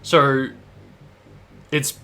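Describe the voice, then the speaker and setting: A man's voice: very slow, sharp tone. A man hosting a gaming video, giving casual, thoughtful and explanatory reaction commentary. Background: game cutscene soundtrack.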